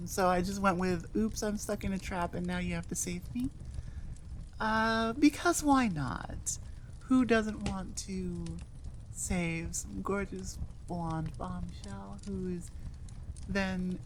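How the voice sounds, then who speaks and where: A woman's voice: lowish voice, a little hiss or hum. A woman audio roleplay creator chatting casually to listeners in a playful, self-deprecating, rambling way.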